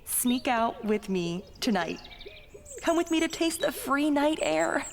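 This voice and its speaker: A woman's voice: high-pitched, sing-song, unhurried, gravelly, long reverb tail, a little hiss or hum, heavily acted. A woman playing a bold, scheming teenage Sicilian girl in a radio play, theatrical, persuasive and playful. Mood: teasing, persuasive. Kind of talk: dramatic radio play acting.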